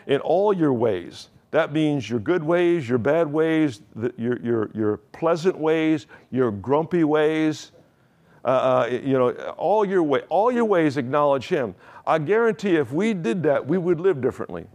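A man's voice: on the high side, lively intonation, a little slow, darkish tone. A male pastor preaching a sermon in a conversational way, asking the congregation questions and often restarting his phrases.

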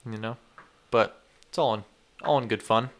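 A man's voice: medium pitch, fairly even intonation, normal speed. A young man from Texas in casual chat, laid-back, swearing often and saying "dude" and "like".

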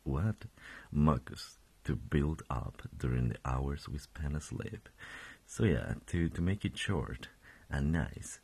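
Gravelly deep-voiced young man, just woken. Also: animated, slow pace, a little mellow. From Colombia. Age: 20s. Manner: rambling, self-correcting, chuckling. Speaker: podcast host. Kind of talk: casual solo podcast.

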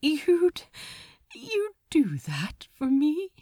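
A woman acts out a gentle, fussy male angel for an audiobook story. The voice sits high and moves up and down in a lively way. It is courteous, earnest and a little hesitant. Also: drawn-out words, no room sound, clean studio sound, exaggerated voice. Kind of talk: audiobook character voice.

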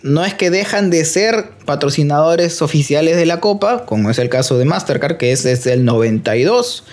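Man, talkative podcast co-host, lightly high pitch, casual explainer tone. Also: lively intonation, normal speed, a little mellow. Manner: explains at length, chatty, playful asides. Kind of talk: casual podcast chat about football news.

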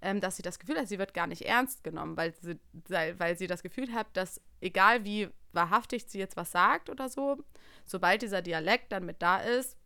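A young woman co-hosting a podcast in a casual, personal chat. Her voice sits at a medium pitch, and she tells stories in an animated way. The sound is dry, with very little room in it. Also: moderate pace, vocal fry, clear, resonant tone, no echo. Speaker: podcast co-host.

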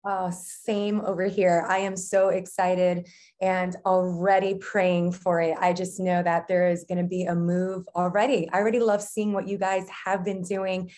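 A woman's voice: fairly low pitch, flat delivery, unhurried, darkish tone. Woman, 40s, from New Jersey, guest pastor, bubbly, laughs often, casual chat. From New Jersey, USA. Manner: bubbly, laughs often, speaks with faith-filled enthusiasm. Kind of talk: casual podcast conversation.

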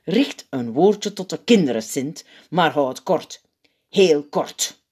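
A woman's voice: low-pitched, lively intonation, slow, warm tone, breathy edge, exaggerated voice. A woman voicing a nervous, fussy male head helper who speaks in a flustered, exasperated way.

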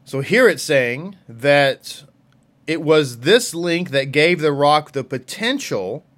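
A man with a fairly high, bright and forceful voice teaches scripture informally. He speaks as a religious teacher, leaning on key phrases and repeating them to drive the point home. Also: lively intonation, slow pace. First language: English. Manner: emphatic, repeats phrases for emphasis.

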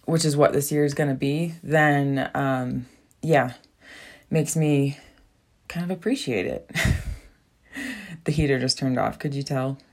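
A woman's voice: a bass voice, unhurried, pauses often, darkish tone. A young woman hosting a casual solo podcast from a small bathroom at home, rambling with lots of fillers and frequent laughs. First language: English. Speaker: podcast host.

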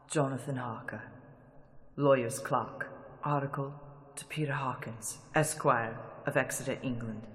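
A man's voice: mid-range voice, long reverb tail, lightly acted. A man playing a young English law clerk who narrates his travel journal in a radio drama, growing uneasy. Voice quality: steady narration that turns to alarmed shouting.

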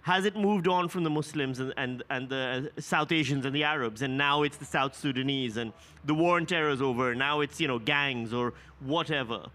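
A man, interviewing a guest with curious, animated questions and an easy laugh. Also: mid-range voice, moderate pace. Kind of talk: conversational interview.

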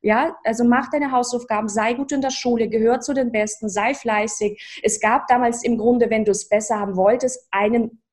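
A woman's voice: fairly high pitch, moderate pace, few pauses. A woman in her thirties whose first language is Russian, who grew up in Russia, telling her life story as an interview guest with many fillers and restarts. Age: 30s. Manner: lively, reflective, frequent fillers and restarts. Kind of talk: personal storytelling in a talk.

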